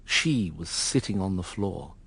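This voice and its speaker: An actor playing a reflective gentleman narrating his memories in a measured, sombre way in a radio drama. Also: sits low, lively intonation, creaky, husky, exaggerated voice. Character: reflective gentleman recalling a lost love.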